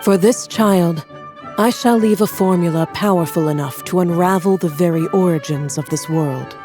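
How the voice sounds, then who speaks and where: Actress as a clever game witch; low, cool, intrigued. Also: moderate pace, darkish tone, faint music behind, close to an everyday voice.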